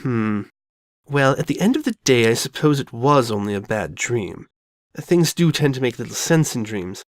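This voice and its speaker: A man's voice: mid-range voice, sing-song, normal speed, no echo, clean studio sound, voice pushed down, natural voice. A man voicing an elegant, genteel female pony with refined, theatrical and formal diction in a dramatic fan fiction reading. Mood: composed, concerned.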